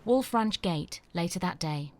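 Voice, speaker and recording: A woman's voice: lowish voice, lively intonation, a little slow, darkish tone, a little hiss or hum. A woman reading scripted screenplay stage directions as the narrator of an audio drama.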